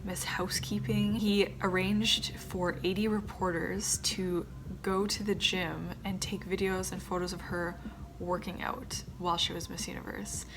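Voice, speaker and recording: Chatty young woman with a low voice. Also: level tone, a little slow, noisy background. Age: 20s. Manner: chatty, with asides muttered under her breath. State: slightly stuffed up. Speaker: vlogger, former pageant contestant. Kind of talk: casual chat to camera.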